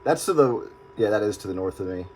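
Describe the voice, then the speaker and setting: A man's voice: on the low side, lively intonation, fast talker. A man chatting casually on air as a radio hobbyist, over a two-way radio. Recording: very noisy radio transmission.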